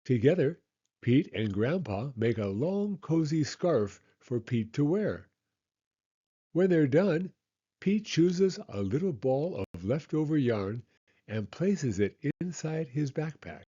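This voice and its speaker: A man reading a children's picture book aloud with expressive, measured storytelling. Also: a little high, husky, lo-fi sound.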